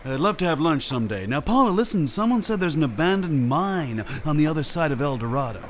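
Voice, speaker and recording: A man plays a busy film director in a vintage-style radio play. The voice is fairly high and animated, with an offhand, bossy, coaxing way of giving instructions. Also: fast, a little hiss or hum. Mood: brisk. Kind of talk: old-time detective radio drama acting.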